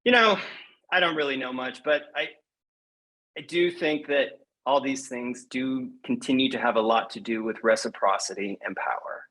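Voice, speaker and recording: A man speaking as a guest poet in a measured, reflective, slightly hesitant way, as at a reading or interview. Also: medium pitch, fairly bright tone, poor audio. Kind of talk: poetry reading and conversational interview.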